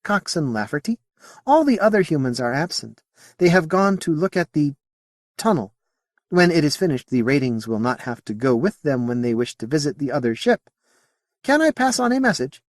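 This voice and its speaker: A high, lilting female alien who studies humans with calm curiosity, played by a man reading a storybook. She speaks slowly and enunciates with care, in formal, slightly off grammar. The sound is close and dry. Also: no room sound. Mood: calm, probing. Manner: slow careful enunciation, formal phrasing.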